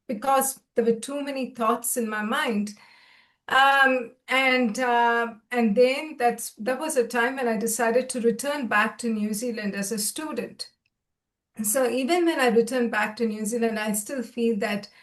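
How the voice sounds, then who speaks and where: A middle-aged woman from India, a podcast guest telling her life story in a chatty, self-deprecating way over a video call. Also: fairly high pitch. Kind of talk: conversational storytelling.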